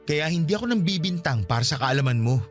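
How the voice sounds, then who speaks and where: A man's voice: fairly high pitch, lively intonation, quick pace, faint music behind, lightly acted. An actor playing a hurt, pleading young father in a Filipino radio drama, earnest and reproachful, with a Filipino accent. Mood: accusing. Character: hurt, pleading young father confronting his cold partner.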